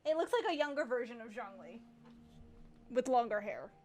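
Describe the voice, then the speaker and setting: A woman's voice: a little high, lively intonation, normal speed, a little mellow. A woman giving casual, emotional, exclamatory reaction commentary as she plays a game. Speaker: gameplay streamer.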